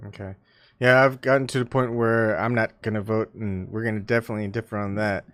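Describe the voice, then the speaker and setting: A man's voice: lowish voice, fairly even intonation, a little fast, fairly bright tone, dry recording. Hesitant man, dry close sound. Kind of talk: casual conversational interview.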